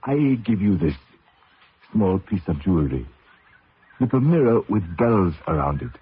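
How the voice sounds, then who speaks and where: An actor playing an old, superstitious Cajun race mechanic in a radio drama, solemn, with clipped broken grammar and a Cajun accent. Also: male voice, low voice, big pitch swings, unhurried, slightly rough, full character voice. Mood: solemn, protective. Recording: noisy, tinny old radio broadcast.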